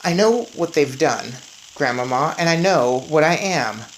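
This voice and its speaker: A woman's voice: sits low, animated, unhurried, a little hiss or hum, low-quality recording. A woman voicing a young boy who has been turned into a mouse, eager and reassuring, in a read-aloud storybook. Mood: calm, upbeat.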